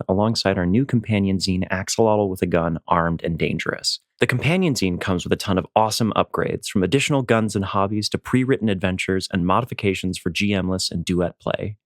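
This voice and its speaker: A man's voice: sits low, brisk, few pauses, no room sound, studio quality. A man announcing a tabletop game promo in a playful, scripted style.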